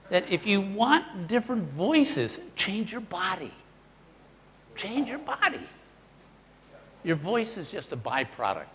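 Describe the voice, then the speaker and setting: A man leads a live workshop, talking with lively swings in pitch and acting out example voices as he teaches. His voice sits a little high for a man. It was recorded in a large hall with a long echo and a faint hum of room noise. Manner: animated, demonstrative, models voices for the audience. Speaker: workshop presenter.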